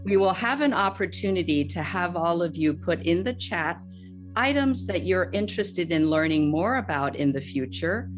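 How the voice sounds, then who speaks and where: Woman host, somewhat low voice, gracious inviting tone, faint music behind. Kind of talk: hosting an online interview.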